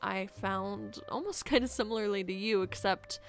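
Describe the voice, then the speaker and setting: Casting: woman as troubled camp girl for a Minecraft roleplay, mid-pitched, halting, unsure, confiding; light music underneath. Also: lightly acted. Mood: confiding, uneasy. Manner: hesitant, halting, trails off. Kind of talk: improvised Minecraft roleplay dialogue.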